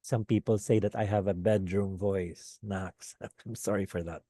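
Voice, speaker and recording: A man with a Filipino accent and a low voice, giving a webinar lecture to teachers and often checking in with "okay?". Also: normal speed, dry recording, clean studio sound. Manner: animated, repeats "okay?" often. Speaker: teacher trainer.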